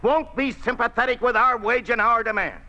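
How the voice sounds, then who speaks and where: An actor playing a defiant, earnest union leader in a 1950s radio drama, argumentative and easily flustered. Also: male voice, very high-pitched, normal speed, bright, forceful tone, highs cut off, slight background noise, heavily acted. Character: indignant, defiant male union leader, flustered when cornered.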